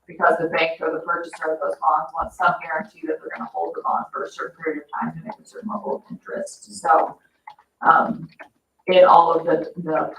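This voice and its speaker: Creaky-voiced woman in an echoey meeting room. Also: sits low, a bit bright. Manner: explanatory, businesslike. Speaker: municipal financial advisor. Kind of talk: explaining in a public meeting. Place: indoor municipal meeting room. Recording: echoey meeting room, distant mic, dull, faint noise.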